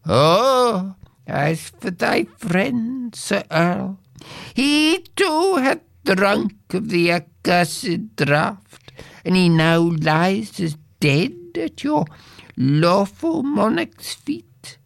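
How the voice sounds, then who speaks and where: A man's voice: sits high, very animated, very slow, no echo, clean studio sound, pitched above the performer's own voice, exaggerated voice. A man doing a wailing old goblin, once mortal. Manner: archaic, solemn, breathy whispering at times.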